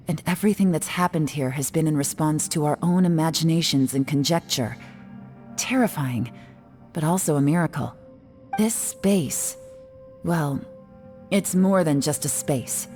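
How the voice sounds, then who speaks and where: Actress as a low-voiced, composed woman investigator. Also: dark tone, lightly acted. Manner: measured, deliberate, composed. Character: cool, composed young woman investigator, intrigued and teasing. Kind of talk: video game voice acting.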